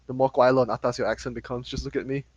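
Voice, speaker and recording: A young man from Malaysia co-hosting a podcast, talking fast in a casual, sarcastic way and laughing often. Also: mid-range voice, steady tone, dry recording, lo-fi sound. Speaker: podcast co-host. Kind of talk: casual chat.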